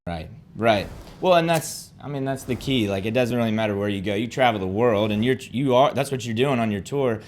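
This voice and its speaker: A man's voice: mid-range voice, a little fast, darkish tone. A young man from the Southern US, a podcast co-host talking to a guest in a rambling, enthusiastic way with many fillers. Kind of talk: casual interview chat.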